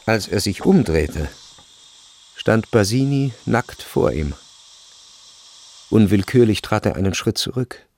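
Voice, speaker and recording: A man narrating a literary radio drama in a measured, grave and deliberate way. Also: lowish voice, animated, creaky, a little mellow.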